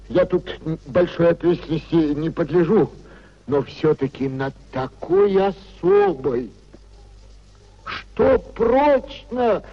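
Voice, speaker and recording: An old government clerk, self-pitying and piously pompous, in a 1950s radio drama. The voice is high and very unhurried, with unctuous, sententious phrasing. The recording is dull and dated. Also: male voice, exaggerated voice. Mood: pious lament. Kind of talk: 1950s Soviet radio theatre acting.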